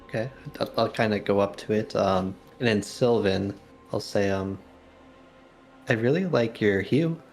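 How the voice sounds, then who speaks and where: A man with a fairly low voice chats casually as a player in a fantasy tabletop game. He feels his way tentatively through each line over faint music. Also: unhurried. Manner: hesitant, tentative. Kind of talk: casual improvised in-character chat.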